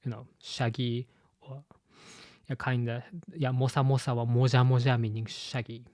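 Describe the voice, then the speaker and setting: Man, Japanese accent, live-stream host, halting, filler-heavy, medium pitch, close dry sound. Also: level tone, darkish tone, no room sound. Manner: halting, full of "you know" fillers and restarts. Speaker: channel host and translator.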